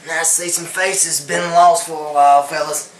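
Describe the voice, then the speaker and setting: A folksy castaway, played by a man talks in rough, plain grammar. His voice sits a bit high and stays flat, with an echoey sound from far off the mic. A faint hiss runs behind him. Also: moderate pace, lightly acted. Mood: weary. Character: rough castaway man back from years alone. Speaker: talk show guest.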